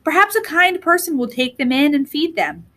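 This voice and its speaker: A high female voice for a storybook wife, acted out by a woman reader. She is bitter and practical, pressing her point in a matter-of-fact, insistent way. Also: sing-song, moderate pace, sharp tone, heavily acted. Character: bitter, coldly practical young mother, sharp-tongued wife. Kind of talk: storybook character reading.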